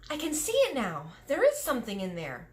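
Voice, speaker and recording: A woman's voice: high voice, sing-song, normal speed, fairly bright tone, pitched above the performer's own voice. A woman voicing a curious, bold young girl. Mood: curious, alarmed.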